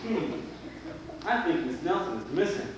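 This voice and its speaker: A ponderous, clueless detective acted by a man on a children's theater stage, his voice a bit high for a man. He sounds far off in a booming room under loud noise. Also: sing-song, unhurried. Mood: pondering. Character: slow-witted police detective, earnest and useless. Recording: very noisy, echoey hall from far off.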